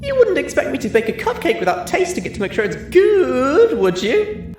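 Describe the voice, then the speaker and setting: A man's voice: very high voice, huge pitch swings, normal speed, a little mellow, echoey room, voice pushed up, exaggerated voice. A man voicing a bouncy, giggly, party-loving female pony in a story reading, with a bubbly, sing-song manner. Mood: insistent.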